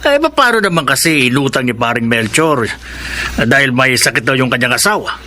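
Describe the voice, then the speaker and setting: A man's voice: mid-range voice, sing-song, moderate pace, bright, forceful tone, a little hiss or hum. A man playing a sweet-talking, evasive bachelor lover of middle age in a Filipino radio drama. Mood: evasive, pleading.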